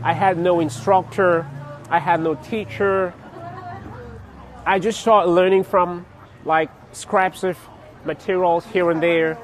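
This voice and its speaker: A young man from Cameroon chatting casually to his vlog viewers outdoors on a city street, laughing often and pausing with fillers. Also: high-pitched, level tone. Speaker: vlogger. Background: faint street traffic.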